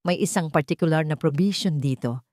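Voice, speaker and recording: A woman voicing an old male lawyer in a storybook tale, speaking in a measured, formal and respectful way. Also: low-pitched, a little mellow, no echo, clean studio sound, natural voice. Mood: calm formal.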